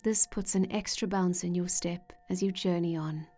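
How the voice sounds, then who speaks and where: A woman leading a scripted guided meditation in a gentle, soothing way with measured pauses. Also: on the low side, steady tone. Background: soft meditation music with singing-bowl tones.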